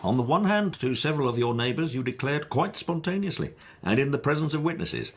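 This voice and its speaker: A male actor playing a stern police superintendent in a radio drama, formal and deliberate in his questioning. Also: mid-range voice, sing-song, slight background noise, close to an everyday voice.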